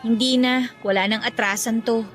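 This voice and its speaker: A woman's voice: fairly high pitch, steady tone, moderate pace, faint music behind, natural voice. A woman playing a troubled, indignant young working woman in a Tagalog radio drama, talking in an emotional, aggrieved way. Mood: weary, resolute.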